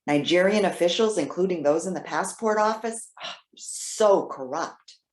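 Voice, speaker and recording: A woman playing a trusting, excitable elderly widow in readers theater, talking in a chatty, halting, earnest way. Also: on the low side, natural voice. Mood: concerned, earnest. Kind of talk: readers theater stage acting. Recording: roomy, echoing sound.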